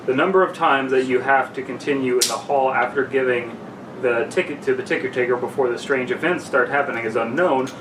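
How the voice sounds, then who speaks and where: A man's voice: mid-range voice, a little fast. A young man co-hosting a podcast, moving between casual chat, dry joking asides and reading aloud. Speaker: podcast co-host. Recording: echoey room, distant mic, faint noise.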